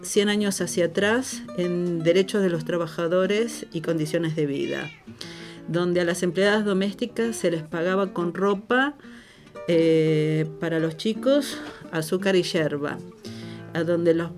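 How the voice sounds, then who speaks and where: An older woman from Buenos Aires talks in an interview with the local Argentine accent. Her voice sits a little low, with clear music behind it, and she tells things colloquially and with emphasis. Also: measured pace. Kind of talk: conversational oral-history interview.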